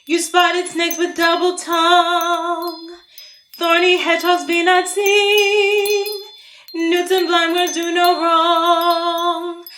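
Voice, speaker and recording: A woman's voice: very high-pitched, completely flat delivery, slow, bright, forceful tone, full character voice. A woman playing a small fairy attendant singing a lullaby in a Shakespeare audio play. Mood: soothing, protective. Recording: echoey, over music and chimes.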